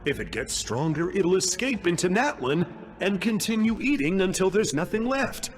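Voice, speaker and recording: A man's voice: high-pitched, very animated, moderate pace. A man voicing a smug, self-important youthful clerk in a video game, wordy and pompous in his theatrical delivery. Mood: grandly explanatory.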